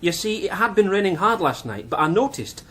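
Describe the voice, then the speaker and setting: An eager young police constable in a radio drama. He talks fast in a lightly high, very lively voice, cheeky but respectful. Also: husky, heavily acted. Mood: keen, helpful. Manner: keen, deferential, interrupting. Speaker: actor. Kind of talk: radio detective drama acting.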